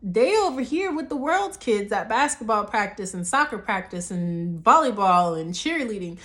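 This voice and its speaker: A woman minister with a slightly raspy, deep voice, teaching a devotional message that moves from hushed to emphatic. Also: sing-song, moderate pace. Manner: soft, hushed delivery that builds to emphatic preaching.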